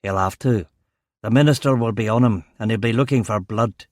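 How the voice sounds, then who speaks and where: Here is a man acting out a big older Glaswegian police commander from a crime audiobook. He is gravelly, blunt and authoritative, pitched low and kept fairly quiet, recorded very dry and close. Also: brisk, dry recording, close to an everyday voice. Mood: gruff, protective. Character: burly, angry older police chief superintendent, commanding but protective. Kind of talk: audiobook character dialogue.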